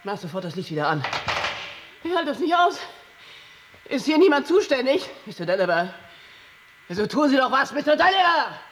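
An actor playing a pushy, grandiose and excitable TV producer in a German radio play. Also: male voice, moderate pace, long pauses, a little hiss or hum, exaggerated voice. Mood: panicked. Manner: grandiose sales-pitch talk, emphatic. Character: slick sensationalist TV producer, pushy, easily panicked man.

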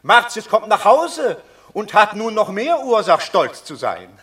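An actor playing a witty, blunt old Roman patrician in a classical radio drama, mocking and theatrically effusive. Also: male voice, high voice, very animated, a little slow, a bit bright, heavily acted. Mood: boisterous joy.

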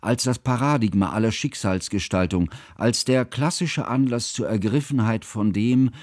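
An actor playing an earnest, bookish man narrating memories of a friend in formal, measured for a radio drama. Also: medium pitch, darkish tone, no echo, studio quality, close to an everyday voice. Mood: solemn. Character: earnest, bookish male narrator recalling his friend's childhood.

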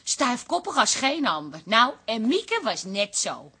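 An actress playing a chatty, wistful middle-aged barmaid with a husky voice in a radio drama. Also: female voice, fairly high pitch, very animated, a little slow, bright, forceful tone, heavily acted.

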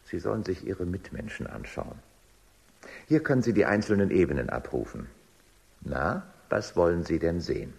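An actor playing a smug, menacing boss of a dystopian organisation in a radio drama, speaking with theatrical, drawn-out emphasis. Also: male voice, deep voice, animated, darkish tone, exaggerated voice. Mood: stern, ominous. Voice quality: gravelly, husky. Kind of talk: German radio drama acting.

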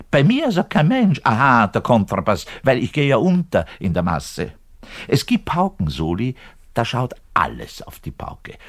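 A frustrated orchestra bassist holds forth to the listener in a radio play. His voice is fairly low and swings widely in pitch, with a southern German accent. He is cranky, opinionated and sorry for himself, moving between ranting and muttered resignation. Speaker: actor in a one-man radio monologue.

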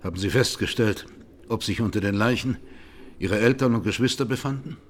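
An actor playing a formal, matter-of-fact judge questioning a witness in a radio courtroom drama. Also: male voice, lowish voice, brisk, a little hiss or hum, lightly acted. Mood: probing, sober.

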